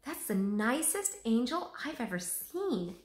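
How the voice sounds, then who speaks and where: A woman's voice: fairly high pitch, sing-song, measured pace, pitched above the performer's own voice. A woman voicing a keen, agreeable young girl in a storybook, exclaiming with wide-eyed eagerness. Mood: pleased. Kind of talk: children's storybook read-aloud. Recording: roomy, echoing room sound.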